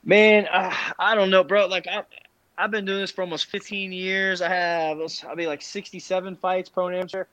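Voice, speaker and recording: A man's voice: high voice, flat delivery, moderate pace, a bit bright, no echo, lo-fi sound. Emphatic man talking in bursts. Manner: restless, repeats himself, emphatic. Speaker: fight coach and fighter. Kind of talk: casual podcast chat.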